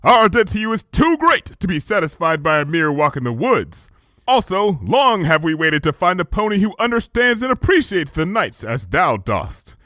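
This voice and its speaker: A man's voice: high-pitched, very animated, normal speed, sharp tone, no echo, clean studio sound, pitched above the performer's own voice, full character voice. A man voicing a haughty pony princess in a storybook reading, declaiming grandly in archaic royal speech. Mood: regal, insistent. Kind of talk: storybook fan fiction character reading.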